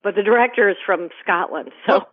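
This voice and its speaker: A woman giving brisk, enthusiastic movie reviews as a guest calling in by phone. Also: mid-range voice. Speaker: film critic guest. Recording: tinny phone line.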